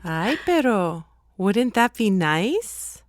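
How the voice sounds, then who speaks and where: A woman's voice: mid-range voice, sing-song, extremely slow, no echo, studio quality. An actress playing a chatty mother talking over a family dinner in an audio drama. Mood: cheerful. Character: warm, chatty mother planning a family trip.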